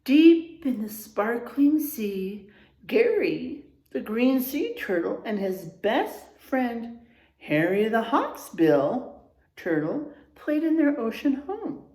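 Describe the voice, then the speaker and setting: Expressive woman reading aloud, slow and roomy. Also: a little high. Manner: expressive, checks in with listeners. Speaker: teacher reading aloud to children. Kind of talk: children's storybook read-aloud with asides. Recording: roomy, echoing room sound.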